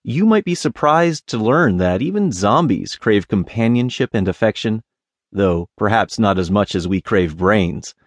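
A man's voice: on the low side, lively intonation, unhurried, dry recording. An American man playing a lonely, self-deprecating zombie who tells his story wryly in an audiobook. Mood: wry, sheepish. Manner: wry, casual, self-deprecating. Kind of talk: first-person audiobook character narration.

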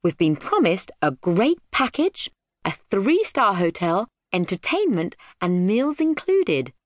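A woman's voice: mid-range voice, sing-song, slow pace, no room sound, studio quality. Woman playing a firm, practical storybook mother. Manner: firm, reasoning. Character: firm, practical mother reasoning with her child.

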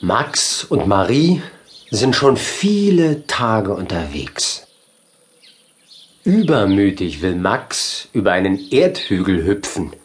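A children's audiobook narrator who reads very slowly and deliberately. The pitch moves in lively swoops, and there is faint noise behind the voice. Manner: slow, deliberate, expressive storytelling. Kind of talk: scripted storybook narration for children.

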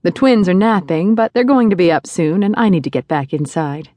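A man doing a firm, gentle young mother. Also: high voice, brisk, dry recording, voice pushed up, close to an everyday voice. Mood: friendly. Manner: patient, scolding softly.

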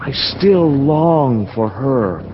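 A man reading a dramatized novel in a slow, solemn, incantatory radio-theater style. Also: medium pitch, lively intonation, lo-fi sound, a little hiss or hum. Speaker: narrator.

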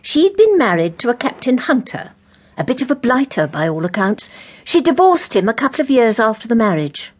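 An actress playing a forthright, eccentric English vicar's wife in an audio drama, emphatic, blunt and matter-of-fact. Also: female voice, a little high, big pitch swings, moderate pace. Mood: brisk, disapproving.

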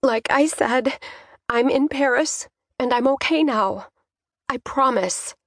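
A woman acts out a shaken young daughter in an audiobook. Her voice is lifted high and strained, and she speaks haltingly as she pleads for calm, recorded close and dry. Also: no echo, exaggerated voice. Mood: shaky, reassuring.

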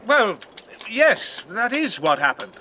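A man's voice: high-pitched, sing-song, slow pace, bright, forceful tone, a little hiss or hum, full character voice. A man playing a stranded pilot in a radio drama, exasperated but kindly as he explains himself.

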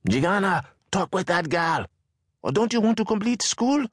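A man voicing a fierce, toothless mother in a slum shack, scolding in a high, sharp voice. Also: very animated, normal speed, dry recording, clean studio sound.

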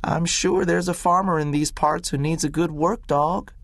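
A man reading aloud and voicing a firm but kindly middle-aged woman country doctor who speaks slowly and insists gently. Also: fairly high pitch, fairly even intonation, dry recording, studio quality, pitched above the performer's own voice, lightly acted.

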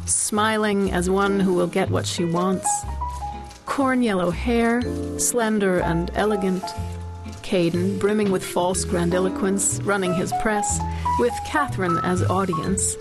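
A woman reads literary verse as an audiobook narrator. Her mid-pitched voice is measured and dramatic, with faint music in the recording.

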